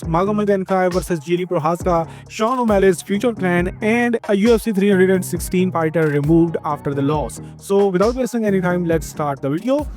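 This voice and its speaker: High-pitched Indian-accented man reading fight news. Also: a little mellow. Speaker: host of an MMA news channel. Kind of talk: scripted news narration. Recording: background music under the voice. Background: music.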